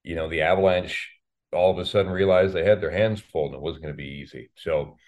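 A man speaking casually as an interview guest, halting and reflective as he thinks aloud. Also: low voice, a little mellow. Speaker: guest, radio play-by-play announcer.

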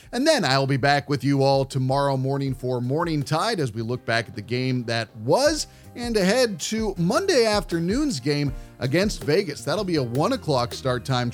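A man hosting a sports radio show, asking questions and presenting in a conversational way. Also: fairly high pitch. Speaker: sports podcast host, interviewer.

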